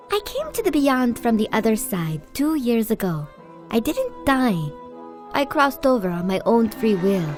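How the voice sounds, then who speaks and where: A woman voicing an earnest, wistful young singer in an animated film. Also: fairly high pitch.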